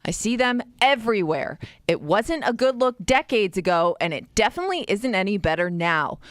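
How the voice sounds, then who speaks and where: A woman's voice: medium pitch, big pitch swings, moderate pace, no room sound. Dry-sounding radio co-host woman. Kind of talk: casual radio banter and reading a listener post.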